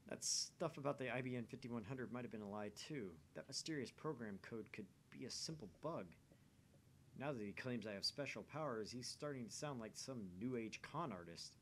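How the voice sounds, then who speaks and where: A man's voice: medium pitch, normal speed, slight background noise, lightly acted. A man voicing a theatrical, grandiose and paranoid young self-styled mad scientist from a visual novel, in suspicious monologue. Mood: doubtful, scornful.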